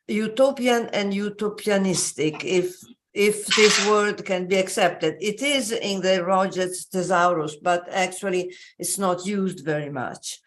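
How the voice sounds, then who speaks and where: Slow, halting woman lecturing. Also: medium pitch. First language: Italian. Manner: slow, halting, many pauses and fillers. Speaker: invited speaker. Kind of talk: academic lecture. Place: joining remotely.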